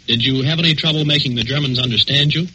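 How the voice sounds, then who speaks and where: A man's voice: mid-range voice, quick pace, sharp tone, slight background noise, poor audio. A man asking scripted interview questions on a 1940s radio broadcast.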